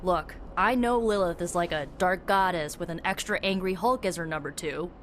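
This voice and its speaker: A woman's voice: lowish voice, moderate pace, close to an everyday voice. Young female superhero played by an actress, casual slangy pep talk, determined, video game, noisy effects behind. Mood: earnest, rallying.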